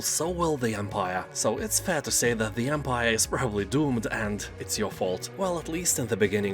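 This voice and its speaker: A man reading a scripted rundown of game deals with a very lively, bouncing delivery. His pitch sits in the middle, and he keeps dropping puns and dry jokes between facts, with soft music playing underneath. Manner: jokey, full of puns and wry asides. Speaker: game review narrator. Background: faint background music.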